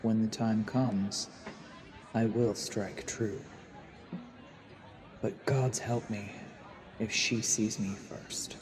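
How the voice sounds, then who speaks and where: A man playing a coldly calculating assassin who poses as a lord, narrating gravely and deliberately in a fantasy audio drama. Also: lowish voice, steady tone. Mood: brooding, menacing.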